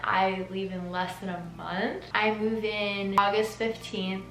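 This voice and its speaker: A woman's voice: mid-range voice, little pitch movement, takes it slowly. Chatty teen girl with a sore throat. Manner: chatty, lots of "like" fillers. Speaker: vlogger. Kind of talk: casual Q&A vlog. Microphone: camera mic. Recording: echoey room with music underneath.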